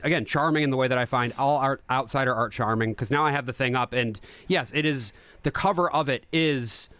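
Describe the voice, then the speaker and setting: A man's voice: mid-range voice, a bit bright, no room sound. A man in his thirties chatting casually as a podcast guest. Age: 30s. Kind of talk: casual chat.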